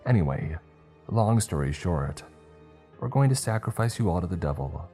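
A man's voice: deep voice, lively intonation, normal speed, mellow, soft background music, pitched above the performer's own voice. A man voicing a boastful, theatrical cult witch who shrieks and rambles. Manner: shrieking, chatty villain.

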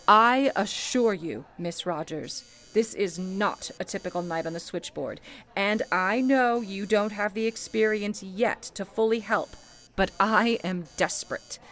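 A woman acts a composed switchboard supervisor in a radio play. She speaks at a middle pitch, formal and measured, with motherly authority. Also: a bit bright, close to an everyday voice. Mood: earnest, motherly. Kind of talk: old-time radio play acting.

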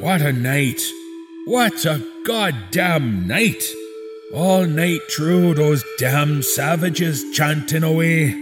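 A man voicing a crude, rough-spoken frontier man reading out his diary, in a coarse folksy drawl with swearing and slang, over eerie music. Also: medium pitch, natural voice. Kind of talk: horror audio drama reading.

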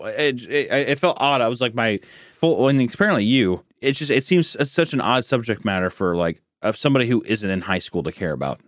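Stammering American man, lively podcast talk. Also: mid-range voice, no room sound, studio quality. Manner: halting, stammering storyteller. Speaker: podcast co-host. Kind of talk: casual chat.

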